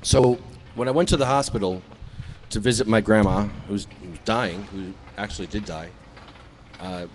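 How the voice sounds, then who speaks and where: A man's voice: lowish voice, moderate pace, a little hiss or hum. A man co-hosting a live internet radio show, teasing and mock-scolding his co-hosts in loose banter.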